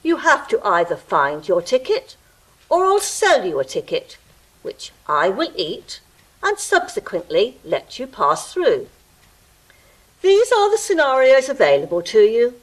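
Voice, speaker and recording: A woman's voice: a little high, wildly swooping pitch, a little slow, heavily acted, rough recording. A woman playing a fussy, pompous ticket-eating gatekeeper creature in an audio drama, with pedantic, theatrical delivery. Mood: haughty, officious. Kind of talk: stage-style audio drama acting.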